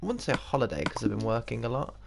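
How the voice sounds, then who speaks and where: A man's voice: medium pitch, very animated, rapid-fire, vocal fry, very noisy. A man in his twenties with a British accent, chatting casually and rambling while he plays a game, often laughing. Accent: British English. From England. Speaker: gaming streamer. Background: game music.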